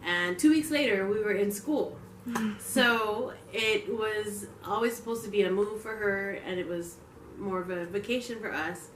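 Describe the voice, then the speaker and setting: A woman from Hawaii, a community leader, speaking reflectively and a little haltingly in an interview. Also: medium pitch, a bit bright, slight background noise. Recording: echoey room, mic at distance.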